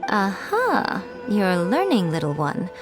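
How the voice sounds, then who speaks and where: Sly, haughty priestess, over music. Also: female voice, medium pitch, wildly swooping pitch, slow. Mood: knowing, amused. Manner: wry, condescending, drawling. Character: sly, teasing adult priestess, haughty and knowing. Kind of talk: video game character acting.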